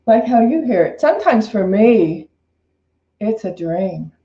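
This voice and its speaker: A woman with a soft-edged, mellow voice speaks as a minister in a roomy, slightly dull recording. Her talk is an informal spiritual sermon, reflective and conversational. She circles back over phrases and rewords them as she goes. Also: medium pitch, big pitch swings, unhurried.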